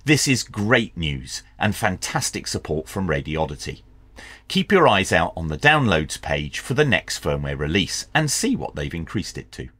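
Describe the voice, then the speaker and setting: A man in his fifties presenting a scripted product review in a measured, clearly enunciated way. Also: low-pitched, sing-song, sharp tone, dry recording. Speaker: host of a radio review channel. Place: small indoor workshop.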